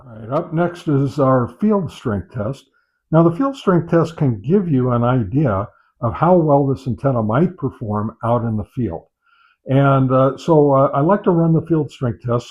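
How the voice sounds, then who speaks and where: A man gives unscripted product test commentary as a review host, pausing often with filler sounds and repeating set phrases. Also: medium pitch, a little mellow. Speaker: host of a radio gear review channel. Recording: dull, muffled lo-fi sound.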